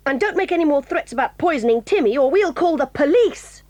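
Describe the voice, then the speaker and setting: A woman's voice: high-pitched, animated, fairly quick, no echo. A bold tomboy girl. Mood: firm. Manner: forceful, indignant. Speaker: George. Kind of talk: 1980s audio drama acting. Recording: dry studio.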